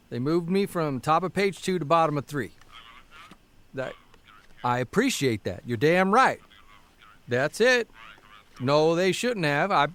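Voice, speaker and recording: An actor playing a harried, wry 1930s newspaperman, with a folksy, blustery, halting manner in a period radio drama. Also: on the high side, a bit bright. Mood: excited, indignant.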